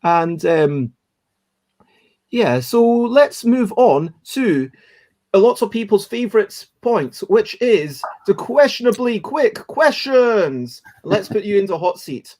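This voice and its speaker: A man's voice: sits high, very animated, slow, a little mellow. A man hosting a casual chat show, speaking in a rambling, encouraging way and often correcting himself as he goes. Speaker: host of a daily livestream chat show.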